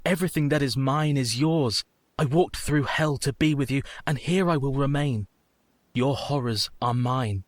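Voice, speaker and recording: A man's voice: medium pitch, moderate pace, dry recording, natural voice. A man acting a tender, steadfast young Victorian husband with solemn, earnest delivery in a radio play. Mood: tender, firm.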